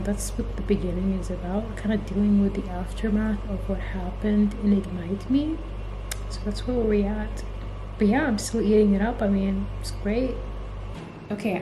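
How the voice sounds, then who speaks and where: A young woman whose first language is Arabic, chatting casually and excitedly about books she is reading for her vlog, often tagging "okay?" and "like" onto her sentences. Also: mid-range voice. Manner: gushing, excitable, repeats "okay?" and "like". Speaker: book vlogger. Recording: echoey room with noticeable background noise.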